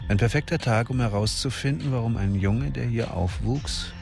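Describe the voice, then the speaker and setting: An actor narrating a crime radio drama as a sombre storyteller, in a measured, literary manner. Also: male voice, mid-range voice, creaky, a little mellow, faint music behind, natural voice. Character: sombre storyteller following a detective. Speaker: third-person narrator.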